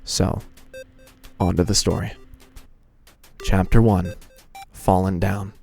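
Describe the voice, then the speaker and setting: A man's voice: sits low, level tone, slow pace, gravelly, over music. A man hosting a fan fiction reading and narrating in a casual style. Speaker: host and fan fiction reader.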